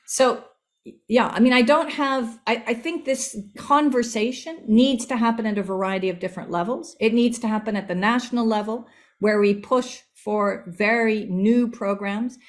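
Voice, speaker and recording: A woman's voice: a little high, steady tone, normal speed. A woman speaking earnestly as a panel speaker in a group discussion session.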